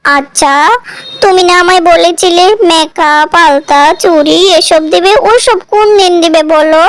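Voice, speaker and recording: Performer as coy, greedy young cartoon girlfriend, high-voiced. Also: measured pace, a bit bright, heavily acted. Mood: wheedling, flattering. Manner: coy, wheedling, dismissive when challenged. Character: greedy, flattering, two-timing young girlfriend.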